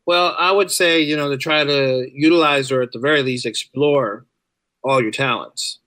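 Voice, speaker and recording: A man's voice: mid-range voice, moderate pace, bright, forceful tone, no room sound. A talkative man speaking casually and enthusiastically as a guest in an interview. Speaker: podcast guest, writer and podcaster.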